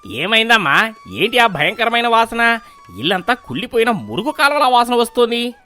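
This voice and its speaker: Bright forceful male voice, man playing grown village son, blunt, reproachful, swooping intonation, moral story cartoon. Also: extremely high pitch, very animated, moderate pace, soft background music, full character voice. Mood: alarmed. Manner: scolding, reproachful. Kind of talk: animated moral story voice acting.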